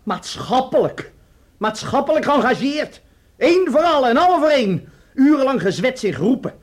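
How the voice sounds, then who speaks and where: A very high, excitable young male voice in a radio drama. He is a resentful, rebellious son, sarcastic and defiant, with pitch that swings widely. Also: normal speed, heavily acted. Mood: sarcastic, indignant. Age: young adult. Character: rebellious, resentful student son in his early twenties. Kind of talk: Dutch radio play acting.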